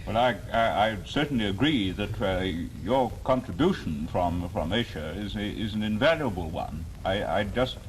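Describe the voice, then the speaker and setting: A man putting formal, deferential, roundabout questions as a press interviewer, in halting phrases. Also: fairly low pitch, animated. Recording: faintly noisy 1950s archival broadcast.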